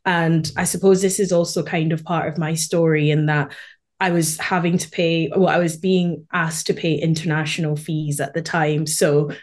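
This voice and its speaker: A woman in her late twenties with a Scottish accent from Edinburgh, speaking as a podcast guest in a relaxed, thoughtful way on a remote call. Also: sits low, moderate pace. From born in Malawi, raised in Scotland. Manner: thoughtful, with frequent fillers and light laughs. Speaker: podcast guest, quantity surveyor. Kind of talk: casual conversational interview.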